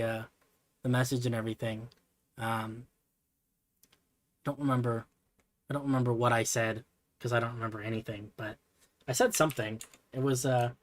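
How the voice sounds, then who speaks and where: A man streaming from his computer, chatting casually in a soft, relaxing voice, rambling with lots of fillers and self-deprecating asides. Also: medium pitch. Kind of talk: casual livestream chat while building.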